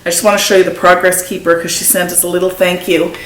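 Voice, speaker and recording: A woman's voice: fairly low pitch, little pitch movement, quick pace, a bit bright. A middle-aged woman from Newfoundland with a Newfoundland accent, hosting a knitting podcast and chatting enthusiastically as she shows off craft supplies at home. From Newfoundland and Labrador, Canada. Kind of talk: casual show-and-tell chat. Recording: echoey room with faint noise.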